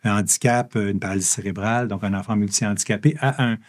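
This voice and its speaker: Quebec guy with a lowish voice, easygoing, rambles a bit, lots of euh. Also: quick pace. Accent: Québécois. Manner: relaxed, rambling, with many fillers and restarts. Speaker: comic book author. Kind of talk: casual interview talk.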